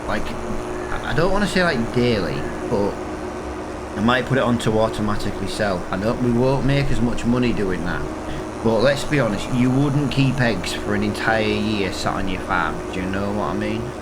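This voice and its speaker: Man, congested, sniffly, rambling commentary, low voice, loud hum behind. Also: lively intonation, normal speed. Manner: rambling, thinking aloud with many fillers. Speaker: gaming channel host. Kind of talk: casual let's-play commentary.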